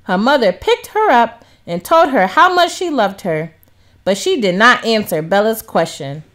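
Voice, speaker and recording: A woman reading a children's story aloud as scripted, expressive narration. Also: a little high, normal speed. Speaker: storybook reader.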